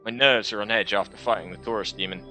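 A young man streaming a game, reading its narration aloud in a headset and breaking off into quick casual asides. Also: on the low side, steady tone, music in the background. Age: young adult. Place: small room at home.

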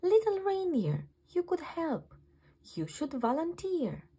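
A woman's voice: very high voice, huge pitch swings, takes it slowly, voice pushed up, full character voice. Woman voicing a cheerful little elf. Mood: excited. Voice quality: breathy, soft. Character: friendly cheerful young male elf.

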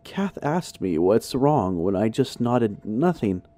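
Slow, animated male horror narrator, dark timbre. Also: fairly low pitch. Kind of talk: dramatic scripted narration.

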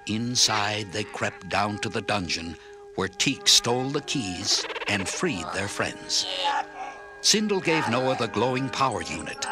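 A man narrating a children's story in an expressive, clearly enunciated read-along style. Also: on the low side, a little slow, music in the background. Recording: orchestral film score under narration.

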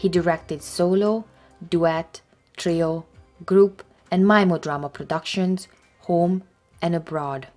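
A woman reading scripted documentary narration in a measured, even way. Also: on the low side, faint music behind.

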